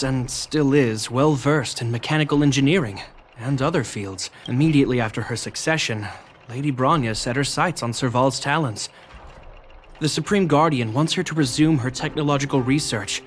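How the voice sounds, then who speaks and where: An actor voicing an earnest, composed younger brother in a video game, formal, measured and caring. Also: male voice, medium pitch, a little hiss or hum, natural voice. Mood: resigned. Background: faint music.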